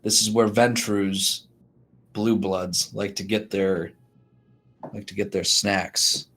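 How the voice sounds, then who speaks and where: A cranky, protective bodyguard talks in a flat, dry way, voiced by a man. The voice sits fairly low. Soft music plays faintly behind. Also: slow, exaggerated voice.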